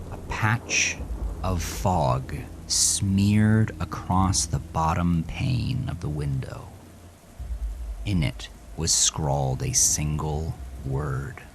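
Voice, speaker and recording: A man's voice: a bass voice, slow, creaky, long pauses, slight background noise. A man narrating a scripted horror story with intense, dramatic delivery that sinks into whispers.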